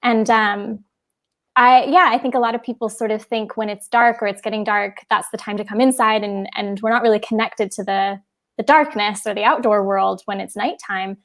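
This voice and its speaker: A woman from Hawaii, an educator, sharing a memory in a thoughtful, halting way during a casual chat on a video call. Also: mid-range voice, fairly even intonation. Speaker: educator and event host.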